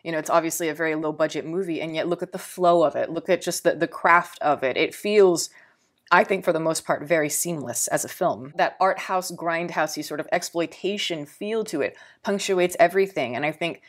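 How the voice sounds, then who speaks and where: A woman's voice: low voice, fairly quick. A woman with a Texan accent reviewing a film in a casual, rambling way, thinking aloud with fillers and repeated words. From Texas.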